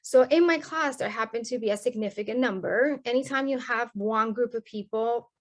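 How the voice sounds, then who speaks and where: Brief: woman professor, Colombian Spanish accent, Spanish native, fairly high voice, earnest explainer. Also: moderate pace. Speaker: sociology professor. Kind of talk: interview answers.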